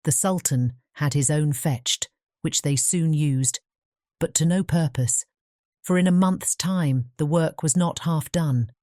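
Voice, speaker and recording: A woman narrating a scripted fairy tale as an audiobook, in a measured storytelling manner. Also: sits low, mellow, no room sound, clean studio sound.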